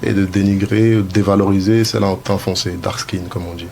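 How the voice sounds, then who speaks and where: A man's voice: deep voice, little pitch movement, a little fast, creaky, darkish tone, slight background noise. A man hosting a casual discussion, hesitant, with frequent fillers and restarts. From France. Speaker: host.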